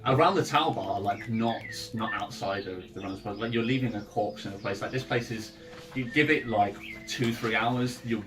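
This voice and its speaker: A man's voice: on the low side, moderate pace. A man chatting casually as game master of a tabletop game, explaining things informally and making it up as he goes. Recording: music playing underneath. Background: ambient music.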